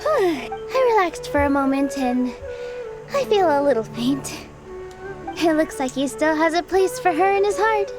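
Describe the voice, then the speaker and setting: A gentle young dancer from an anime game. Her voice is high and expressive, full of earnest sincerity. Game music plays clearly behind her. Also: a little slow. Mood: weary relief. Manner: soft-hearted, sincere, expressive.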